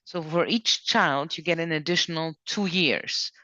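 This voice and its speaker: A woman's voice: sits low, unhurried, sharp tone, no room sound. A woman presenting a programme in an informal online question session, reading questions out and explaining rules step by step. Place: online video conference call. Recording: dull online-call audio.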